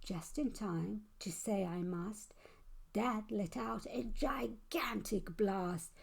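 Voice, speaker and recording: A woman narrates a children's picture book in rhyme. She takes her time with each line, speaking slowly and expressively as a storyteller would. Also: medium pitch, gravelly, slightly rough. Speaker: storybook reader. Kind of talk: scripted children's read-aloud, rhyming narration.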